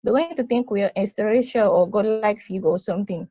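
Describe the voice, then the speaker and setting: Female space probe, woman performer: prim, responsible, articulate and emphatic. Husky voice, slightly muffled recording, stage table read. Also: mid-range voice, fast, warm tone, dry recording, natural voice. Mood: exasperated, earnest.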